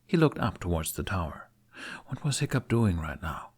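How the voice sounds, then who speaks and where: A man reading fiction aloud as an expressive story narrator. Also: low-pitched, moderate pace, husky.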